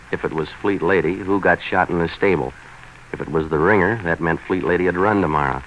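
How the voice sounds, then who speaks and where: A low, deep hardboiled man of 1940s radio noir. He is sardonic and clipped, tossing off wisecracks deadpan. Faint noise from the vintage broadcast sits around him. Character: hardboiled, sardonic male waterfront troubleshooter and narrator. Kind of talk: 1940s radio noir acting and first-person narration. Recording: noisy 1940s radio recording.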